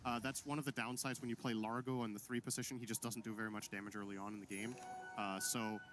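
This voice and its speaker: A man giving analytical commentary on a video game match, measured and explanatory, often pausing with ums. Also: lowish voice, little pitch movement. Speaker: color analyst. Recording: broadcast commentary over game music.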